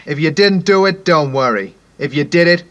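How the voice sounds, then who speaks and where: An actor playing a cocky, wisecracking private detective in a 1950s-style radio drama, full of brash slang. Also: male voice, fairly high pitch, animated, normal speed, lo-fi sound, natural voice. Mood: brash, needling. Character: hard-boiled cocky male private detective, wisecracking and gruff.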